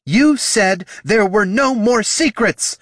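A man's voice: mid-range voice, moderate pace, breathy edge, no room sound, studio quality, pitched above the performer's own voice, lightly acted. A man voicing a hot-tempered, wounded female fire sorceress, impassioned and accusing, in a fantasy audiobook. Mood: outraged. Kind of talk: fantasy audiobook character dialogue.

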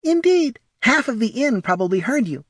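A man's voice: very high-pitched, very animated, normal speed, a bit bright, husky, no room sound, clean studio sound, voice pushed up, exaggerated voice. A man voicing a small, foppish kobold in an audiobook, with a thin voice and an airy, patronizing, affected manner. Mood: prim, scolding. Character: small, snobbish, foppish male kobold courtier. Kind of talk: audiobook character voice.

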